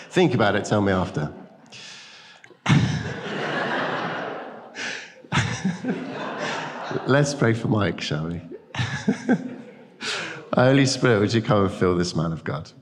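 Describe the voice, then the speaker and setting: Low-voiced British church host in echoing hall. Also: male voice, big pitch swings, very slow, long pauses. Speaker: church host introducing a guest. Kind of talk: informal hosting and spoken prayer. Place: large church hall, speaking to a congregation. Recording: echoing reverb of a large hall.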